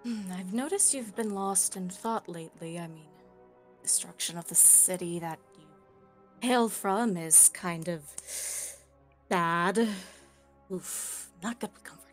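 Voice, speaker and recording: A woman's voice: on the low side, slow pace. A woman chatting casually and roleplaying as a player in a tabletop game. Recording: music behind the voice.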